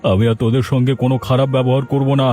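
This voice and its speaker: A man acts out an ageing village landlord in a cartoon. He groans and pleads with a soft, dark tone, full of suffering and repentance. Faint music plays underneath. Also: mid-range voice, level tone, rapid-fire, lightly acted. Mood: desperate remorse. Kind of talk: amateur cartoon voice acting.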